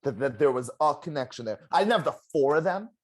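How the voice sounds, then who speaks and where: A former game contestant in a casual exit interview, telling stories in an animated, self-deprecating way with a loud voice. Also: mid-range voice, quick pace. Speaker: eliminated contestant, juror.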